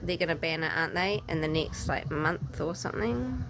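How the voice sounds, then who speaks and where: A woman's voice: sits low, animated, moderate pace, background noise. A woman from New Zealand with a New Zealand accent, chatting loosely as a livestream guest. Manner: chatty, loose, uses filler like "dude" and "like". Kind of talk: casual live chat.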